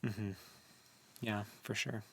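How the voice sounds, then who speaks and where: A man's voice: on the low side, flat delivery, slow pace, creaky, fairly bright tone, breathy edge, dry recording. A man co-hosting a book discussion in a casual chat style, thinking aloud in a hesitant way. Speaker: podcast co-host.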